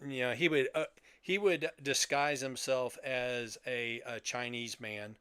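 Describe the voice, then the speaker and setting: Casting: older male co-host, clear resonant voice at medium pitch, relaxed podcast conversation. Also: measured pace, no room sound. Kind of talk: casual chat about sci-fi and old radio heroes.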